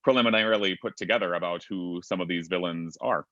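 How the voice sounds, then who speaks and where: A man moderating a casual panel discussion, talking quickly and reading out chat comments. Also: sits low, a bit bright, no room sound, highs cut off.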